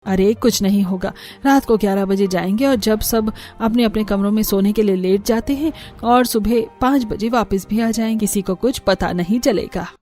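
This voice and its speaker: A woman's voice: mid-range voice, normal speed, hardly any pauses, mellow, faint music behind, natural voice. A woman voicing a scheming, thrifty middle-aged mother-in-law in a cartoon story, coaxing and breezily dismissive. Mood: gleeful scheming. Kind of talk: cartoon story character dialogue.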